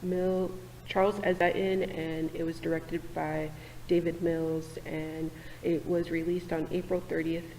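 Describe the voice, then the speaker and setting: A woman hosting a casual panel discussion in a church meeting room, talking in a relaxed, hesitant way with many fillers. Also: low-pitched, measured pace, in a large hall, a little hiss or hum, rough recording. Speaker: host.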